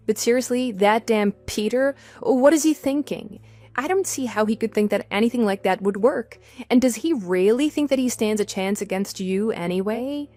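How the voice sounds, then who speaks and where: A woman's voice: on the high side, moderate pace, mellow, lightly acted. Emphatic wife played by a woman, music behind. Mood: indignant. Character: exasperated, loyal wife, indignant but trusting. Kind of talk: scripted dialogue in an online radio drama. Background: background music.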